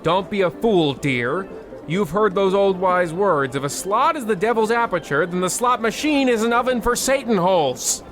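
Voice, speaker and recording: A man's voice: high voice, animated, moderate pace, music in the background. A man playing a fussy, pompous, scolding husband who blusters through an old-time comedy radio play. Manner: pompous, blustering, stammering indignation.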